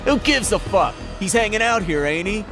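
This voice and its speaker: An actor playing a callous gang thug in a video game dub, mocking and menacing, with a gravelly voice. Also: male voice, high voice, animated, moderate pace, over music. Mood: sneering.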